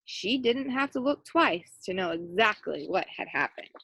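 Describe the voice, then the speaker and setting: A woman reading a picture book aloud in an animated way, with asides to young listeners as a teacher would. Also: medium pitch, normal speed, husky, no echo, poor audio. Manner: animated, acts out quoted lines and points out pictures. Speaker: elementary school teacher reading aloud to children.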